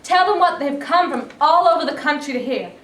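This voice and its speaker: A woman playing an impatient, militant activist in a staged reading, speaking in forceful, insistent rallying phrases. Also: sits high, animated, brisk. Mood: defiant urgency. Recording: echoey room, distant mic, faint noise.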